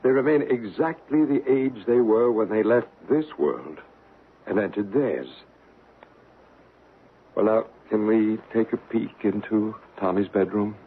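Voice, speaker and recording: A calm, authoritative ghost investigator in a radio play. His voice is low and husky, measured and formal, heard through a thin, tinny broadcast. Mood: calm, inquisitive. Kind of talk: radio drama acting.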